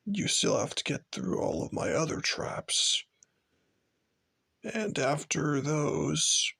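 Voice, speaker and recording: A man voicing a sinister, sadistic killer taunting a captive in a slow, menacing, gravelly horror monologue. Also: fairly high pitch, fairly bright tone, heavily acted. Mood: whispered menace. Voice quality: gravelly, husky, dropping into whispers.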